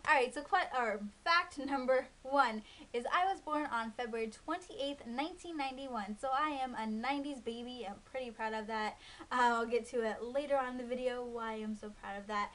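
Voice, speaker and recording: A woman's voice: a little high, normal speed, a bit bright. A woman in her early twenties chatting casually to camera, rambling with lots of ums and likes. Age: 20s. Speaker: beauty vlogger. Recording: faint hiss.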